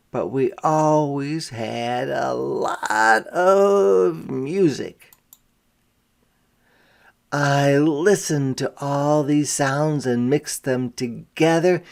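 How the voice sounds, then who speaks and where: A man reading a picture book aloud to young children as a teacher, phrasing deliberately and pausing to explain words simply. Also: a little high, gravelly, a little mellow. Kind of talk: interactive children's read-aloud with explanatory asides.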